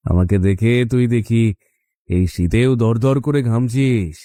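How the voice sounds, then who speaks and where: A man voicing the vengeful ghost of a murdered village father in a horror cartoon, speaking deliberately and ominously. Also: medium pitch, mellow, no room sound, studio quality. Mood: mocking, menacing. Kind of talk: dramatic cartoon horror acting.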